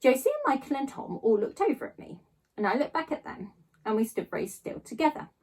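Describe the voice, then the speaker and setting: A woman reading a children's novel aloud, narrating in a child's first person with expressive storytelling emphasis. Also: sits high, fairly quick, husky, poor audio. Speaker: storybook reader.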